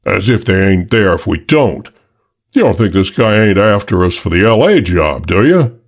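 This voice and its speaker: A man's voice: mid-range voice, very animated, unhurried, vocal fry, slightly rough, heavily acted. A man voicing a brash, blustering male gangster who talks in tough slang. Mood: scornful. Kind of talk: audiobook character reading.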